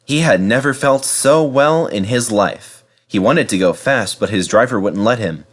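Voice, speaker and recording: A man narrating a children's storybook in a measured, dramatised storytelling manner. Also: fairly low pitch, expressive. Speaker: storybook reader.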